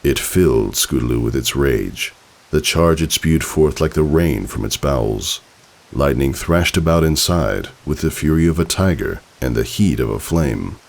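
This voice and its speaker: A man reading a story aloud as narrator, in an expressive, theatrical, dramatic style. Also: rumbling low, moderate pace, vocal fry. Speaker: fan fiction narrator.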